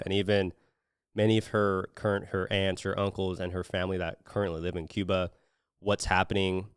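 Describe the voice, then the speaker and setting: Laid-back low-voiced male podcast host. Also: clear, resonant tone, no echo, studio quality. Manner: relaxed, meandering with many fillers. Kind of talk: casual podcast interview.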